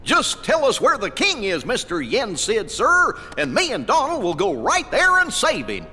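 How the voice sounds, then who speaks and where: Goofy, drawling high-voiced cartoon knight. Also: male voice, huge pitch swings, moderate pace, sharp tone, slightly rough, in a large hall, faint music behind, full character voice. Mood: earnest. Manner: folksy, bumbling, polite. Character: goofy, good-natured male cartoon animal, knight captain. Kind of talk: animated game cutscene acting.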